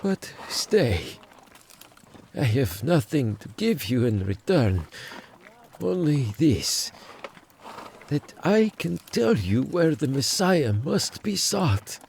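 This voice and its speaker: Sick pious biblical man, super croaky, slow n halting, grateful and reverent, audio drama. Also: mid-range voice, sing-song, darkish tone, slight background noise, exaggerated voice. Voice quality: gravelly. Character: weak, grateful, pious Hebrew man revived from near death.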